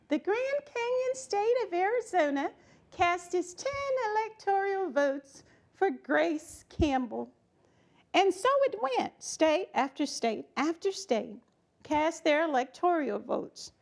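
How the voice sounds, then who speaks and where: A woman reads a children's story aloud expressively, with emphatic delivery, dramatic pauses and chatty asides to her young listeners. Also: extremely high pitch, vocal fry.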